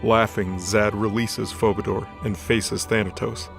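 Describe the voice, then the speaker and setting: A man's voice: lowish voice, level tone, a little slow, creaky. A man reading scripted fiction as the narrator of a story. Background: background music.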